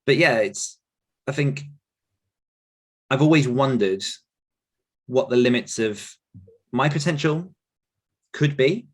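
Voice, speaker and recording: A man's voice: mid-range voice, slow pace, pauses often. A British man in his thirties talking casually and reflectively as an interview guest, wandering as he tells his stories. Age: 30s. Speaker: podcast guest, author.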